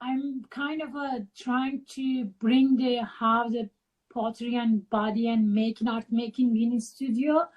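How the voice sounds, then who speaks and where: Turkish-accented woman guest, middle-aged, slow and halting, pitched a touch high. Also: fairly even intonation, highs cut off. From Turkey. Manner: slow, halting, searching for words. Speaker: guest artist. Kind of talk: interview over a live video call.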